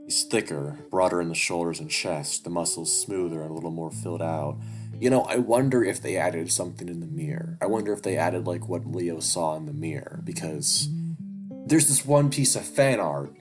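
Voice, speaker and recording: A man's voice: low voice, lively intonation, moderate pace. A man reading a story game aloud and commenting on it, swearing often and restarting his sentences. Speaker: gamer playing a story game. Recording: music playing clearly behind.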